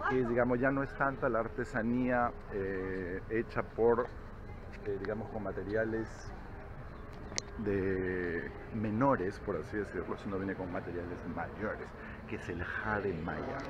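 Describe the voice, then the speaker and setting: A man's voice: fairly low pitch, steady tone, unhurried, highs cut off, noisy background. A man in his forties with a Peruvian accent, chatting casually as a travel vlogger, laughing often and tagging phrases with little questions. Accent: Peruvian Spanish. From Peru. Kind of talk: casual travel vlog narration. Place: outdoor crafts market. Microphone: camera held at arm's length.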